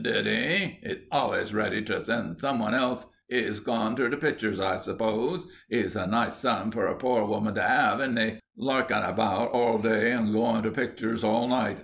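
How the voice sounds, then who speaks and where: A man voicing an aggrieved, sharp-tongued Cockney mother who runs a stall and scolds as she goes, in a storybook reading. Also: medium pitch, measured pace, creaky, hardly any pauses. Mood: aggrieved, scolding. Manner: grumbling, rhetorical, sharp-tongued.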